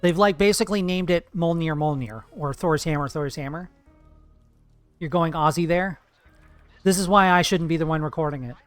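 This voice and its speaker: A man's voice: fairly high pitch, moderate pace, clear, resonant tone, dry recording, faint music behind. A man streaming live, chatting casually and profanely with his viewers while gaming, playful and teasing.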